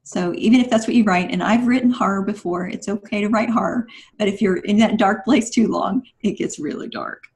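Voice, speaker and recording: A woman's voice: medium pitch, brisk, a little mellow. A woman speaking as a podcast guest, giving motivational advice in an emphatic, instructive and encouraging way.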